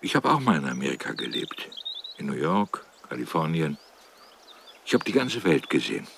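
An actor playing a sardonic, bookish, world-weary man with a gravelly voice and a wry, brooding manner in a radio drama. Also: very deep voice, big pitch swings, normal speed, background noise, heavily acted. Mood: musing.

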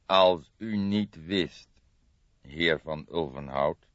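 Man playing a gruff, drawling police detective. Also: extremely low pitch, extremely slow, no echo, exaggerated voice. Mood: suspicious. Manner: gruff, drawling, emphatic, formal. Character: stern, dogged older police detective, male. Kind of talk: audiobook character voice. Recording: dull lo-fi audiobook recording.

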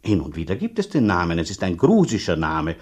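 A blunt, condescending country dean in a radio drama. The voice is low and husky and swings widely in pitch. He talks on emphatically, haltingly, repeating himself with open scepticism. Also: huge pitch swings, darkish tone, exaggerated voice. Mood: confiding, dismissive. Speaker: actor in a radio play. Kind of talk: German radio drama acting.

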